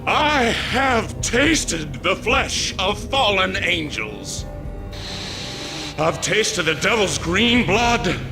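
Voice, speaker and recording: Crazed occult gangster villain: high, croaky, theatrical ranting in a cavernous reverb. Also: male voice, big pitch swings, very slow, heavily acted. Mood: menacing muttering. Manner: theatrical, ranting, swinging from mutter to shout. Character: raving demon-worshipping mad mobster, self-styled beast. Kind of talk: dramatic video game villain acting. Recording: cavernous long reverb, music behind.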